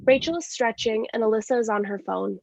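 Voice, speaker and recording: A woman's voice: on the high side, fairly quick, creaky, a little mellow, a little hiss or hum. A woman reading scripted stage directions in a live staged reading of a play. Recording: dull lo-fi sound.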